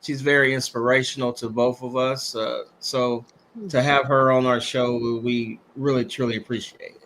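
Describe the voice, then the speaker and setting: A man's voice: mid-range voice, level tone, normal speed, a bit bright, slight background noise. A man co-hosting a casual chat, agreeable and easygoing. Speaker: co-host.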